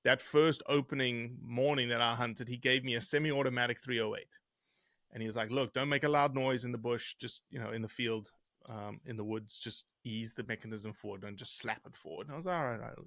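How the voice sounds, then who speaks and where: A middle-aged man with a softened South African accent talks as an interview guest, telling stories in a conversational way. His mid-pitched voice sits in a very dry space with little room sound. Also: steady tone, a little fast, no room sound. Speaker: interview guest, conservation scientist. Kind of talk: conversational storytelling.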